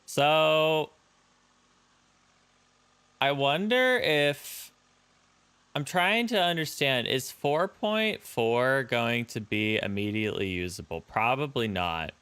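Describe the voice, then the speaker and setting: Slow, smooth low-voiced male streamer. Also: lively intonation, bright, forceful tone, no room sound. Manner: chatty, answers viewers' chat and thanks subscribers. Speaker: live streamer. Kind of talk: casual live-stream chat while flying a simulator.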